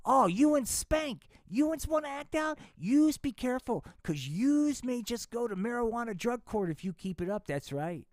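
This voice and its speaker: A man voicing a bossy, preachy puppet police officer who shouts in slangy talk at a live puppet show. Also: very high-pitched, big pitch swings, moderate pace, few pauses, dry recording, studio quality, pitched above the performer's own voice. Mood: scolding.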